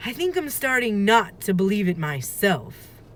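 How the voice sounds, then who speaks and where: A woman's voice: mid-range voice, big pitch swings, measured pace, pitched above the performer's own voice. A woman voicing an earnest, cautious young boy in a children's storybook, with a questioning, wondering manner. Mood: doubtful. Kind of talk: children's storybook read-aloud.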